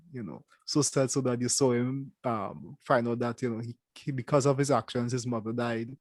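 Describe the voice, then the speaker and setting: A young man with a Trinidadian accent, co-hosting a casual show review and talking in halting bursts with many restarts. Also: mid-range voice, a little mellow, no echo. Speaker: co-host.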